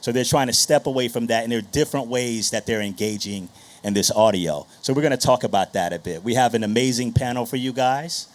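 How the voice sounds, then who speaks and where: A man's voice: medium pitch, brisk. A man speaking informally and conversationally to an audience as a panel moderator on stage. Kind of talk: casual stage introduction of a panel. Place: on stage at a live panel session.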